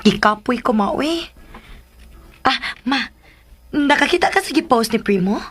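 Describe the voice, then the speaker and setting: Bright, high-voiced Cebuano daughter. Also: female voice, lively intonation, unhurried, breathy edge, heavily acted. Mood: casual, coaxing. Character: eager, stubborn young daughter choosing college course. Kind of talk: Philippine radio drama acting.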